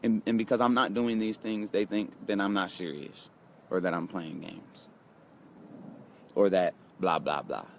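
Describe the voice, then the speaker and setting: A man's voice: low voice, steady tone, a little slow, gravelly, a little hiss or hum. A man in his twenties giving a casual motivational talk, emphatic, repeating himself and speaking straight to his viewers. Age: 20s. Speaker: motivational vlogger.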